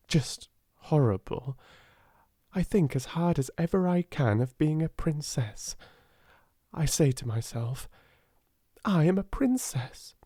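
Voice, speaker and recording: A man's voice: medium pitch, warm tone, dry recording, studio quality, pitched above the performer's own voice. A man voicing a brave, imaginative little girl with a British accent, earnest and kindly in a storybook reading. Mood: stout, wistful.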